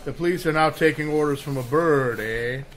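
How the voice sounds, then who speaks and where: An actor playing a wry, teasing male gentleman of leisure, in 1930s-style radio drama acting. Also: a little high, normal speed, close to an everyday voice. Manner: dry, teasing, urbane.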